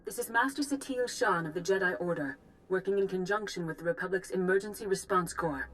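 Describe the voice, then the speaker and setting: Low-voiced, composed Jedi master played by a woman. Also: fairly bright tone, a little hiss or hum, lightly acted, rough recording. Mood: grave, urgent. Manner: measured, grave, formal. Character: composed, authoritative female Jedi master giving mission briefings. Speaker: military commander briefing volunteers. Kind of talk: formal scripted game dialogue.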